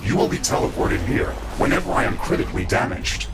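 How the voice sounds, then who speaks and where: An actor playing a stern, by-the-book AI mech suit in a video game, talking in a formal, measured, procedural manner. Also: male voice, heavy background noise, natural voice. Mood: dutiful briefing. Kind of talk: scripted video game voice acting.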